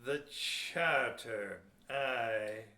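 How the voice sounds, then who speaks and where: A man acting a gruff, crafty sea captain in a historical audio play, goading people in archaic, emphatic seafaring speech. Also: medium pitch, very slow, pushed and bright. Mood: sly, goading. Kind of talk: amateur audio theater historical drama acting. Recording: echoey room.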